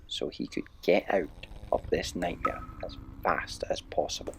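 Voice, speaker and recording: A man narrating a children's story aloud in an expressive, unhurried way with dramatic pauses. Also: lowish voice, creaky, slight background noise, low-quality recording.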